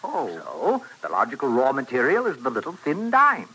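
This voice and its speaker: An actor playing a smooth-talking professor who patiently shows off a wondrous machine, in an old-time radio western. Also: male voice, medium pitch, huge pitch swings, normal speed, sharp tone, full character voice, poor audio. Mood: confident, expounding. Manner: patient, showy explaining, like a salesman. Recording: vintage radio broadcast recording.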